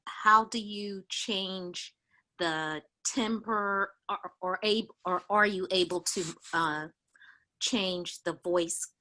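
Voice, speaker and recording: A woman in a class asking a question over a video call, halting and hesitant. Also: fairly low pitch, pushed and bright.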